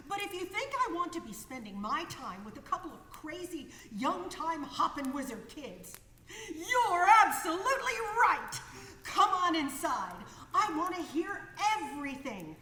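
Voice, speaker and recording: A woman's voice: high voice, sing-song, moderate pace, pushed and bright, heavily acted. An actress playing a brash, good-humored 1930s woman aviator with breezy, slangy talk in a radio play. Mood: exuberant. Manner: breezy slangy talk, calls people kiddo. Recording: echoey hall, faint background noise.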